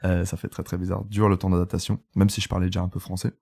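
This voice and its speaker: A man's voice: deep voice, little pitch movement, rapid-fire, gravelly, darkish tone, slightly rough. A man in his twenties from Italy, speaking French as a podcast guest, telling his story candidly with lots of fillers and restarts. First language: Italian. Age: 20s. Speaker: guest photographer. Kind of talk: casual podcast interview.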